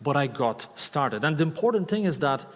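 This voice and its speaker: A man's voice: fairly high pitch, big pitch swings, normal speed. A man in his thirties with a Polish accent, giving an enthusiastic stage talk and often asking the audience questions. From Poland. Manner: enthusiastic, asks the audience questions, repeats key words. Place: large hall with live audience. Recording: long hall reverb from a stage talk.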